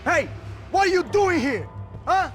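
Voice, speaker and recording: A man's voice: very high voice, very animated, measured pace, music in the background, heavily acted. An actor playing an aggressive, bullying male police officer in a video game cutscene. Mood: angry, demanding.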